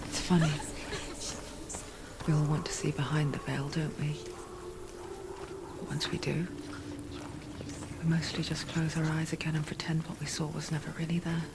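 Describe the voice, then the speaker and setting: An actress playing a knowing, sombre spirit narrator in a game, speaking intimately in a whispery, breathy voice. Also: female voice, sits low, level tone, very noisy. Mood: quietly ominous. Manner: intimate, slow, ominous.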